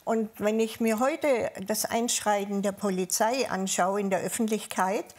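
Female interview guest from southern Germany, mid-pitched, recounting her working life frankly, with searching pauses. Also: fairly even intonation, a little mellow. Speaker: interview guest, former police officer. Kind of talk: personal recollection in conversation.

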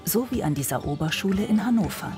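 A woman reading a scripted news report in a calm, measured way. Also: mid-range voice. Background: music bed.

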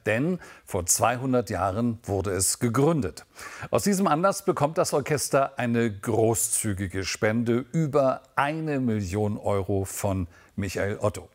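Man, low voice, news presenter, scripted broadcast delivery.

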